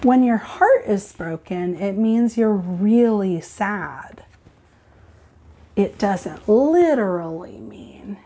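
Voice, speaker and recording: A woman teacher reading aloud and explaining to children in an expressive, deliberate, instructive way. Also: medium pitch, husky.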